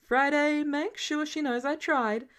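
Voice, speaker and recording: A woman's voice: sits high, steady tone, unhurried, a bit bright, voice pushed up. A woman plays a grown man in a narrated story, a genius billionaire boss. He is flippant and childish, brushing things off like someone who refuses to be serious. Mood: mock regret.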